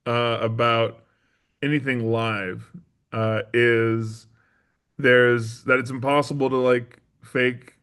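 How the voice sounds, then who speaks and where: A man giving thoughtful, reflective answers as a performer being interviewed, in halting phrases. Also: medium pitch, flat delivery, fairly bright tone, clear, resonant tone. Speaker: interview guest, stage performer.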